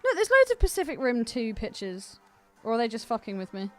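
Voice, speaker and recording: A woman's voice: on the high side, huge pitch swings, brisk, faint music behind. A British woman co-hosting a casual chat in a slangy, sweary, enthusiastic way. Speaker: podcast co-host.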